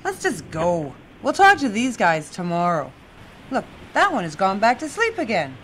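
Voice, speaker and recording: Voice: medium pitch, wildly swooping pitch, moderate pace, a bit bright, slight background noise, low-quality recording. An actor playing a pushy police officer who questions people in a commanding, impatient way.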